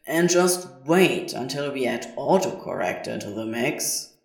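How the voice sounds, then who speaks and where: A woman's voice: very deep voice, animated, a little slow, vocal fry, noisy background, exaggerated voice. A woman voicing a flippant, exasperated, scheming male demon in a fan fiction reading. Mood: gleeful. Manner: flippant, sardonic. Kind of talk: audio fan fiction dialogue.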